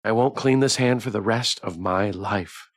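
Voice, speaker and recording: Slightly creaky man narrating a game. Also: lowish voice, moderate pace. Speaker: streamer reading a visual novel aloud. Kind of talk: playthrough narration with chat banter.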